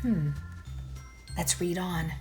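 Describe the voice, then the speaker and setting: A woman's voice: lowish voice, takes it slowly, a little mellow, soft background music. A woman reading a children's storybook aloud expressively, with dramatic delivery and musing asides to the listeners. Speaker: children's book read-aloud narrator.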